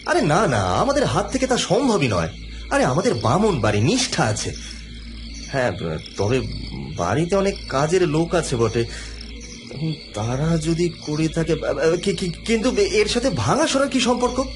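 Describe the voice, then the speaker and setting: A man's voice: a little high, very animated, measured pace, music in the background. An actor playing an irritable, indignant male office worker in an audio drama, complaining and argumentative, sometimes stammering. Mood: puzzled, defensive.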